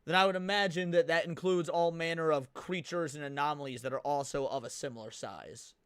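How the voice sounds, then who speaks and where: A man's voice: on the high side, a little fast, clean tone, no room sound. A man giving casual live reaction commentary as a stream host, thinking aloud as he goes. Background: loud music from the watched video.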